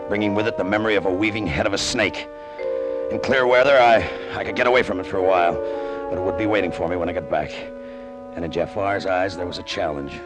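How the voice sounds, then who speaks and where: A man's voice: sits low, lightly acted. An American man playing a hard, hot-tempered, cynical cargo pilot in old-time radio drama, with a tough, clipped delivery. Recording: vintage radio drama with loud music.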